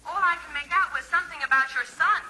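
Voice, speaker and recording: An actress playing a polite, obliviously persistent telephone operator with formal manners in an old-time radio sitcom. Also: female voice, very high voice, fast talker, bright, forceful tone, heavily acted. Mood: puzzled, polite. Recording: very noisy old radio broadcast, clicks.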